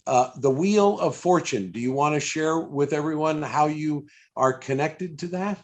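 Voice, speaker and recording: A man's voice: fairly high pitch, a little slow, darkish tone. A man conducting a conversational interview over a video call, with hesitant, stammered starts to his questions. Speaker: interviewer, stage impressionist.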